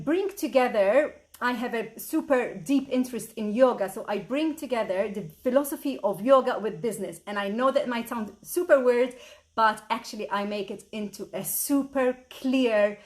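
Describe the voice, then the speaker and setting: A middle-aged woman from Malta, an online business mentor, talking eagerly on a live stream and greeting viewers by name. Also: on the high side. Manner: upbeat, eager, repeats "super" a lot, greets viewers by name. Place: at home at a computer.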